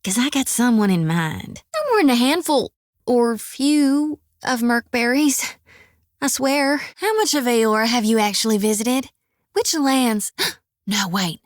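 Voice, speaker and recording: A woman's voice: fairly high pitch, lively intonation, unhurried, dry recording. An actress voicing a folksy, earnest young woman priest of death in a video game, with a drawl. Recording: dry studio recording.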